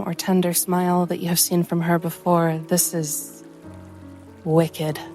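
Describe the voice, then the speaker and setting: A woman plays in a tabletop fantasy game, switching between narration and character speech. Her delivery is slow, measured and even, in a slightly low voice over soft music. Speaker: player in a tabletop roleplaying game.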